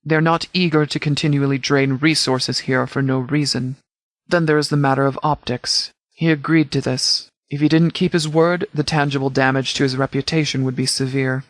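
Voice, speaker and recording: A man playing a composed, pragmatic military commander in a video game, speaking in a formal, measured, tactical way. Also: medium pitch, steady tone, dry recording, lightly acted. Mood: firm, measured. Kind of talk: video game dialogue acting.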